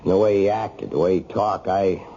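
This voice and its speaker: Radio drama: wry, tender prisoner husband, low mellow voice, dull hissy vintage recording. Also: male voice, steady tone, moderate pace. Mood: downcast, bitter. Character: jailed, embittered but tender husband protesting his innocence.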